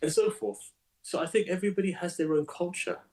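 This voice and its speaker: A man, an imam, speaking as a guest in a conversational interview, emphatic and animated, often repeating his words as he builds a point. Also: high voice, a little fast.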